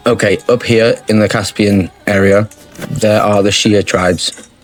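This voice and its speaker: A man's voice: lowish voice, steady tone, a little slow. A man commentating on his own strategy game playthrough, explaining each move step by step in a matter-of-fact way. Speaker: gaming channel host. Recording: faint music bed under the voice.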